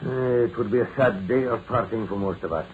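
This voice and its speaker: An actor playing a friendly, plain-spoken Roman legionary with archaic phrasing in an old radio drama. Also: male voice, lowish voice, fairly quick, lightly acted. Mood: subdued, wistful. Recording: tinny, noisy vintage radio recording.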